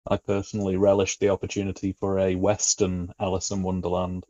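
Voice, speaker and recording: A man in a casual podcast discussion, speaking in a halting, reflective way. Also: low-pitched, monotone, warm tone, no echo. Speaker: podcast guest. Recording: slightly dull, lo-fi audio.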